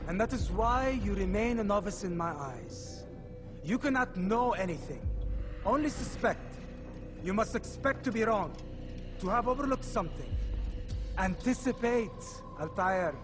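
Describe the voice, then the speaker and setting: A stern assassin superior in a video game. His voice is high for a man, and he lectures with open condescension. Music is clearly audible underneath. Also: lively intonation, unhurried. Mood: stern, lecturing. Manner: lecturing, disdainful. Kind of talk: video game dramatic acting.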